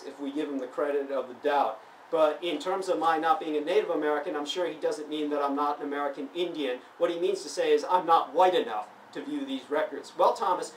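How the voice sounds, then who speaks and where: Man lecturing from a distance, roomy sound. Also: on the high side. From Taiwan. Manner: lecturing, grows heated and emphatic. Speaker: interviewee. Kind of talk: monologue answering online comments. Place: convention venue. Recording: roomy, distant mic, faint background noise.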